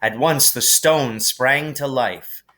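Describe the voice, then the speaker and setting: Male storybook narrator, slow measured pace, bright forceful tone, mid pitch, expressive reading. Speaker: teacher reading a novel aloud.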